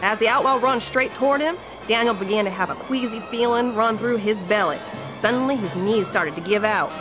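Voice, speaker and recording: Higher-pitched woman narrating a western. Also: brisk, over loud music, rough recording. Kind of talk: scripted folksy western storytelling narration.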